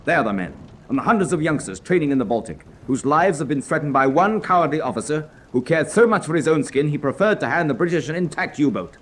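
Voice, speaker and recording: An actor playing a proud, hard-bitten German U-boat officer in a radio drama, with clipped, emphatic delivery. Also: male voice, fairly high pitch, animated, fast, close to an everyday voice. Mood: bitter, impassioned. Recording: clearly noisy background ambience.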